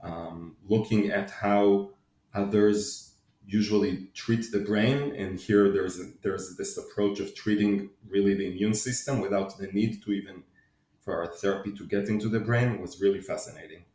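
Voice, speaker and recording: Guy with a low voice, raised in israel, talks kinda careful, lots of restarts, echoey room. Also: fairly even intonation, warm tone. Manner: measured, thoughtful, with frequent restarts. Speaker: guest, company CFO. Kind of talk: interview answers.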